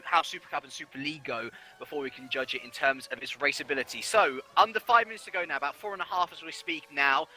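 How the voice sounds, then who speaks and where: A high, very bright male voice calls a sim racing qualifying session live. He talks fluently and analytically, reeling off positions and lap times with steady authority. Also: low-quality recording. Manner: fluent, analytical, reads out standings. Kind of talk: live race commentary.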